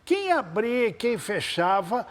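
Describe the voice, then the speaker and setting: High, lively male TV interviewer. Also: big-room sound. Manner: prompting with many quick follow-up questions. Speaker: TV interviewer, host.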